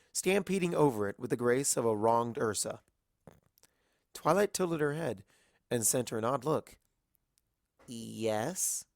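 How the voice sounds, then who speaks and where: A man's voice: mid-range voice, no room sound, studio quality. A man narrating a scripted story as a reader of fiction.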